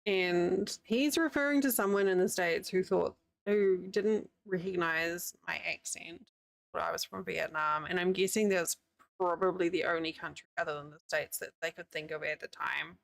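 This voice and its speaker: Woman, New Zealand accent, streamer, rambling casual chat, gravelly, dry close sound. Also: lowish voice, normal speed, no room sound. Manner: chatty, rambling, laughs often. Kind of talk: casual chat with live chat while crocheting. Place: home, living in the United States.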